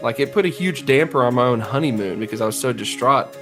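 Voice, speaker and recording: A man's voice: mid-range voice, lively intonation, fast talker, over music. A man co-hosting a podcast in casual, mock-earnest banter with his friends.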